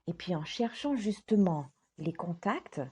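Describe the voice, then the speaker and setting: Woman, coach, husky, earnest, measured emphasis. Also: fairly low pitch, lively intonation, mellow. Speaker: life coach. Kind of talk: direct-to-camera talk.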